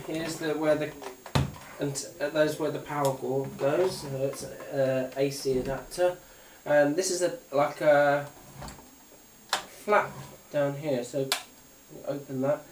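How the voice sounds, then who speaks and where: Male British gadget reviewer, hesitant and off-the-cuff, slightly high voice, picked up from a distance with faint noise. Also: takes it slowly, roomy sound. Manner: hesitant, rambling, unscripted. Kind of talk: casual product demonstration.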